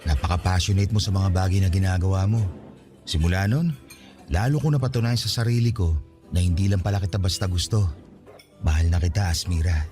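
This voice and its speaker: An actor playing a sincere, patient, lovestruck suitor in a radio romance drama, with an earnest, tender delivery. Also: male voice, deep voice, a little fast, warm tone, lightly acted. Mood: tender, confessing. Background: music.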